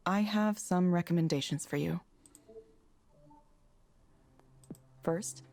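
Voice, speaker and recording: Low, mellow, reserved counsellor. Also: female voice, dry recording. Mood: scripted calm. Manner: calm, measured, understated. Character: reserved, soft-spoken woman working as counselling proxy. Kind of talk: visual novel voice acting.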